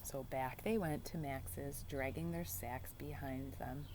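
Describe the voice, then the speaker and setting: A woman's voice: rumbling low, fairly even intonation, takes it slowly, noisy background. A woman teacher reading a storybook aloud to young children and explaining it in simple terms.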